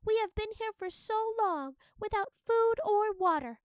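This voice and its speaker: A woman's voice: very high-pitched, expressive, a little slow, no room sound, voice pushed up. A woman voicing a tiny, helpless trapped creature in a storybook, with a thin, urgent, pleading voice.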